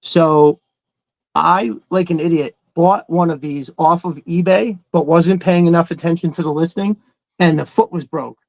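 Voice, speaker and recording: A man's voice: a little high, fairly even intonation, moderate pace, vocal fry, no echo, low-quality recording. A man hosting a casual livestream, rambling in a slangy, enthusiastic way. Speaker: host of a toy collecting livestream. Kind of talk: casual chat.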